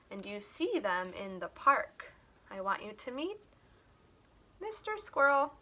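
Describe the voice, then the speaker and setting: A woman reading a story aloud to young children, expressive, with simple explanatory asides. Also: on the high side. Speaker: children's teacher and story reader.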